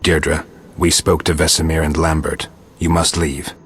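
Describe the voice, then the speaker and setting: Very deep, stoic monster hunter. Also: male voice, level tone, a little slow, slightly rough, exaggerated voice. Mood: grave, firm. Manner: terse, restrained delivery. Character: calm, reasonable male monster hunter, stoic and measured. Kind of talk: video game voice acting.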